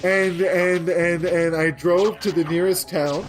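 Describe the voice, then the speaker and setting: A man from Pennsylvania with a fairly high voice tells a long story in a dry, deadpan way while co-hosting a gaming show. He rambles and circles back, never rushing the joke. Faint game music plays quietly beneath him. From Pennsylvania, USA. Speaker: show co-host, gamer. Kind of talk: casual gaming podcast chat.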